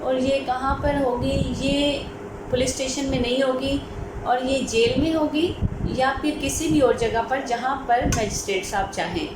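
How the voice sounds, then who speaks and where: A woman with a Pakistani accent explains law in an informal lecture style, dropping in English terms. Her voice sits fairly high. The recording is very echoey, with clear background noise. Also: measured pace. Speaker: advocate teaching law.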